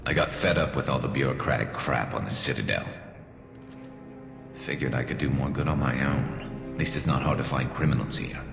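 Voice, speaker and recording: A man voicing a wry male alien sniper vigilante in a video game cutscene, speaking in a deadpan, dry way. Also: a bass voice, brisk, vocal fry, music in the background. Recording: reverberant game mix with score.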